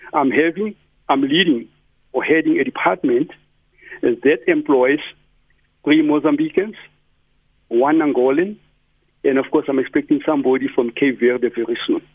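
A man's voice: a little high, moderate pace, pauses often, husky, no room sound. A man commenting on a radio talk show in an earnest, preaching tone. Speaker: studio guest commentator. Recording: tinny phone-like line.

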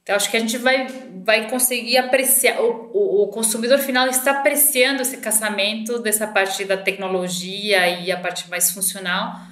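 Middle-aged Argentine-accented woman, interview guest, pauses and restarts often; echoing room. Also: medium pitch, fairly even intonation, a bit bright. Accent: Argentine Spanish. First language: Spanish. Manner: thoughtful, frequent hesitations and restarts. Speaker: marketing director guest. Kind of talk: conversational interview answers. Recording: roomy echo.

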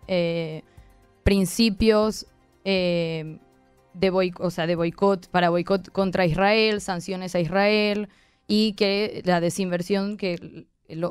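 A woman in her thirties from Argentina, with an accent mixing Argentine and Spanish, explaining her work as a guest lawyer in measured, hesitant answers. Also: medium pitch, clear, resonant tone, no room sound. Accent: mixed Argentine and Spanish. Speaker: interview guest, human rights lawyer.

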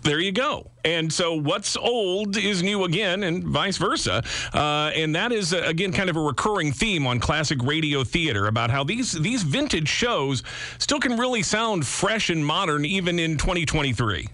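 A man's voice: a little high, animated, moderate pace, bright, forceful tone, no room sound. An American man hosting a conversational radio interview, wordy and feeling his way through long questions.

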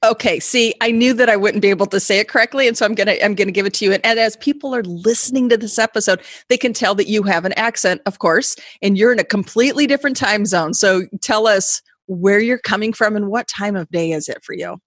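A woman's voice: mid-range voice, quick pace, hardly any pauses, dry recording. Chatty woman podcast host, dry sound. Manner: upbeat, chatty, many fillers. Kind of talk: casual podcast interview.

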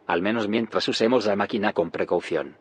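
A grave male scientist in an audio drama. His low, husky voice explains things in a measured, ominous way.

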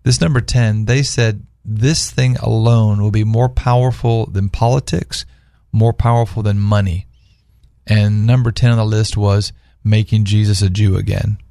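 A man's voice: lowish voice, fairly even intonation, measured pace, creaky, warm tone, no echo, clean studio sound. A man speaking as a guest on a radio talk panel, earnestly telling stories and posing rhetorical questions in conversational religious discussion.

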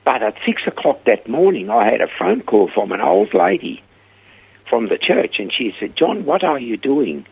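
A man's voice: mid-range voice, moderate pace, creaky, husky. An older man from Switzerland with a Swiss accent, telling a story as a radio interview guest and acting out the quoted voices. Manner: lively storyteller who acts out the quoted voices. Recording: narrow phone-like radio sound.

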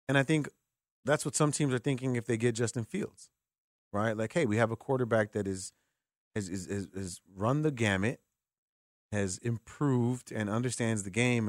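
A man's voice: lowish voice, no room sound, studio quality. A man hosting a sports call-in radio show, talking casually and giving opinions, repeating words for emphasis. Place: radio studio. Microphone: close studio mic.

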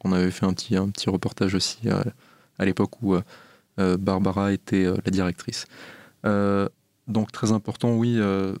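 A man hosting an interview, asking measured questions and repeating words as he speaks. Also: lowish voice, a little mellow, dry recording. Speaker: interviewer, host.

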